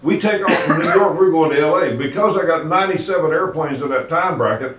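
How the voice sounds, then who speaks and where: A man's voice: on the high side, fast, a bit bright. An older man, a retired controller, debating informally in a blunt, assertive way, often answering his own questions. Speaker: retired air traffic controller. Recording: echoey room, distant mic.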